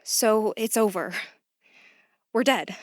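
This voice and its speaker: A woman's voice: medium pitch, slow, no room sound, studio quality, natural voice. A young actress playing an earnest, bewildered female new recruit in a naturalistic audio drama, speaking in a casual, plain way. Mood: alarmed. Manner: questioning, casual, plain-spoken.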